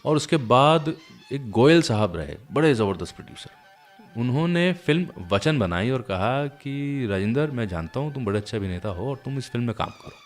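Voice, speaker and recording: A man's voice: medium pitch, lively intonation, normal speed. A man presenting a classic film music show, telling anecdotes in a chatty way and speaking directly to listeners. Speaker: radio show host. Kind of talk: conversational storytelling presentation of classic film songs.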